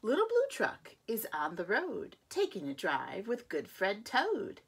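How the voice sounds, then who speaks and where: A woman preschool teacher reading a picture book aloud to young children, with a playful sing-song delivery, gasps and slow counting. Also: mid-range voice, huge pitch swings, slight background noise.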